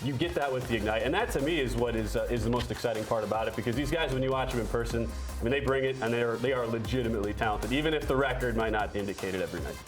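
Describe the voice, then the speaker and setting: A man, a play-by-play announcer, is being interviewed about sports. He talks very fast at an average pitch and projects strongly, with clear music in the background. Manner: projecting, booming delivery. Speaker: radio play-by-play announcer, show guest. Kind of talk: interview, enthusiastic sports talk.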